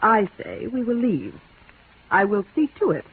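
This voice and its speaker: Female, bossy fault-finding wife, scornful and theatrical, animated swings, vintage radio drama sound. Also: medium pitch, measured pace, slight background noise. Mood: imperious. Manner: imperious, emphatic, scornful. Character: domineering, grumbling, fastidious wife. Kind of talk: 1950s radio drama acting. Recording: vintage radio, narrow lo-fi band, faint music.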